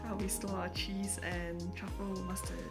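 A woman's voice: medium pitch, level tone, moderate pace. A young adult woman chatting casually to camera as she vlogs her trip. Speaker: travel vlogger. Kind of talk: casual vlog chat. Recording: loud music over the voice. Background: music.

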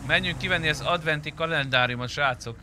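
A man chatting casually and slangily while playing a game, swearing now and then. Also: a little high. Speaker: roleplay game player and streamer. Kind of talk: casual gaming chat. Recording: loud car engine noise behind.

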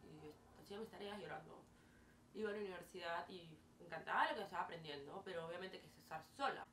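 A woman's voice: fairly low pitch, fast talker. Peruvian woman chatting in a roomy bedroom. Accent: Peruvian Spanish. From Peru. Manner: chatty, animated hand gestures, frequent fillers. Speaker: vlogger. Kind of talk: casual storytelling to camera. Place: small bedroom, indoors. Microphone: camera mic at a distance. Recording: roomy, distant, faint hiss.

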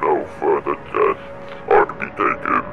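Menacing automated announcer for analog horror: gravelly, bottomless pitch, swooping intonation. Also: male voice, animated, moderate pace, highs cut off, faint music behind, full character voice. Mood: cold, ominous. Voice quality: very deep, gravelly. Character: menacing automated warning system voice of a secret organization.

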